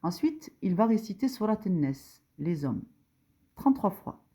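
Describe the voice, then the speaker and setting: A woman's voice: mid-range voice, very animated, measured pace, warm tone, slightly rough. A woman giving an informal religious lesson, explaining step by step with frequent hesitations. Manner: hesitant, many fillers, rising emphasis on key points. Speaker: religious teacher. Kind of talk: informal tutorial explaining a diagram.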